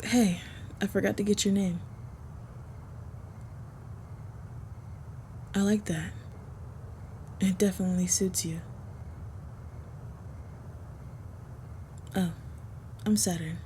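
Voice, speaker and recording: A woman playing a protective, smitten female vampire in an intimate roleplay audio, speaking softly, gently and a little hesitantly. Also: mid-range voice, steady tone, moderate pace, a little hiss or hum, natural voice. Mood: shy, flirtatious.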